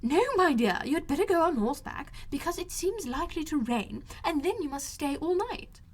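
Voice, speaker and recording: A shrill-pitched, fussy, excitable mother. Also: a little fast. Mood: scheming, insistent. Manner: gushing, emphatic, insistent. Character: excitable, fussy, scheming middle-aged mother.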